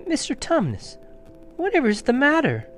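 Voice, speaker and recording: A man's voice: sits high, huge pitch swings, moderate pace, faint music behind, pitched above the performer's own voice, full character voice. A man voicing a kind, anxious young girl in a storybook reading, polite and pleading. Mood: frightened. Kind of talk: dramatic storybook character reading.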